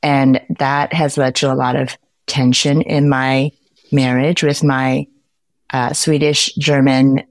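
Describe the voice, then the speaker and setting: A woman in her fifties with a notably low voice talks candidly as a podcast guest. She laughs easily and speaks in quick bursts. The sound is dry and close, with no room in it. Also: flat delivery, no room sound. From United States. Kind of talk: casual podcast conversation.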